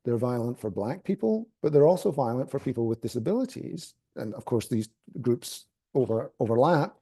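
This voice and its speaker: A man with a Scottish accent speaking on an academic panel, pausing often with fillers and restarts. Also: medium pitch, big pitch swings, mellow, breathy edge. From Scotland. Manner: halting, many fillers and restarts.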